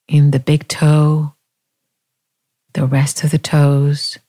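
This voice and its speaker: Low-pitched, slow, mellow woman guiding meditation. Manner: calm, gentle, unhurried, with long pauses. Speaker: meditation guide. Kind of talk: guided body scan meditation.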